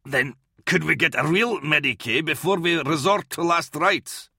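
A man's voice: fairly high pitch, a little fast, gravelly, sharp tone, husky, dry recording, studio quality, voice pushed up, heavily acted. A man voicing an embattled, short-tempered army officer in an audiobook, barking urgently. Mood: snappish.

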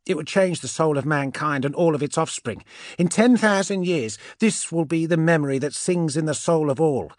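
A man's voice: on the high side, normal speed, no room sound, studio quality, voice pushed up, close to an everyday voice. A man voicing a cool, mocking gene witch woman in a science fiction audiobook, speaking in a wry, portentous and self-assured manner. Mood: solemn, reflective. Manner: wry, portentous, sure of herself.

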